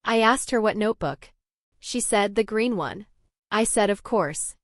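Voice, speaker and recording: A young adult woman from Norway, narrating her own story in a measured, even scripted way. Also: mid-range voice, no room sound, studio quality.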